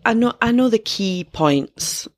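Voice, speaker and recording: A woman's voice: on the high side, animated, unhurried, no room sound. A woman co-hosting a casual podcast, wry and self-deprecating, often laughing at herself. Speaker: podcast co-host.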